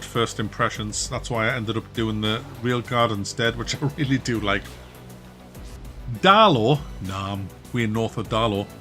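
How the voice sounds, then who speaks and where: A man with a Wearside Mackem accent from near Durham, casually chatting with viewers during a game stream, relaxed and chatty, often laughing and trailing off. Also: medium pitch. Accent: Wearside (Mackem) North East English. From North East England, near Durham. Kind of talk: casual live-stream chat reading viewer comments.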